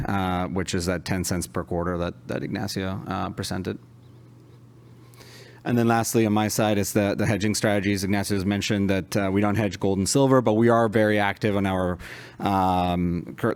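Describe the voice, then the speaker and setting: A man from Argentina, a native Spanish speaker, explaining company finances to investors in a measured way with frequent fillers. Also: low-pitched, clean tone. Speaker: finance executive presenting. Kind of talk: investor presentation.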